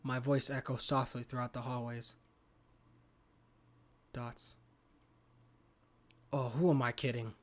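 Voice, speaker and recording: A man's voice: mid-range voice, flat delivery, slow pace, pauses often. A man reading a horror game's story narration aloud as a gaming host. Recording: clear background noise.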